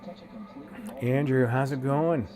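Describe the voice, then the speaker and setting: A man's voice: medium pitch, normal speed. A man with a head cold, sounding congested and sniffly, hosting a live stream in a laid-back, slangy way as he greets viewers by name.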